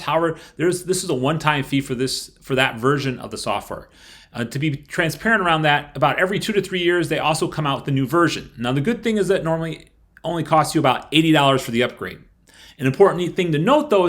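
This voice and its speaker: A man presenting a casual software review, explaining things informally and speaking straight to the viewer. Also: medium pitch. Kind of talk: casual product walkthrough over screen capture. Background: background music.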